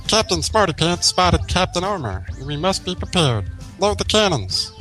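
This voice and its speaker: Man acting young girl general, storybook pony, high-pitched, animated, stern, bossy, barking commands. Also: normal speed, faint music behind, exaggerated voice. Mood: barking, urgent. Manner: barking orders.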